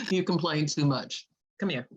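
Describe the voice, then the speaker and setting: Woman reading as low-voiced, firm, loving mother. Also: husky, full character voice. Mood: teasing, firm. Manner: firm, folksy, colloquial. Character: fiercely loving, fearless thirty-something mother, nature lover. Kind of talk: script table read. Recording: dull lo-fi audio.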